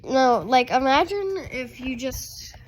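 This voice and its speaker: High voiced girl doing a reaction vid, slangy, takes her time, bit of background noise. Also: lively intonation, takes it slowly, rough recording. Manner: slangy, often says bro. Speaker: reaction video host. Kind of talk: casual reaction commentary.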